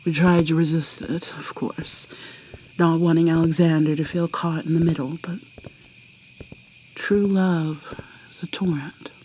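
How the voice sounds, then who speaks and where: A woman playing an ancient vampire mermaid in an ASMR roleplay, whispering softly and haltingly with a wistful manner. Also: low voice, steady tone, slight background noise, low-quality recording. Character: ancient female vampire mermaid, guarded and sorrowful.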